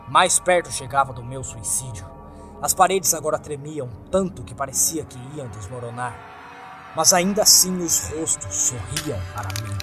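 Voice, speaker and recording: Male Brazilian Portuguese horror narrator, slightly high register, very animated scripted delivery over faint music. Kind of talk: dramatic scripted narration of a first-person horror story. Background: soft background music.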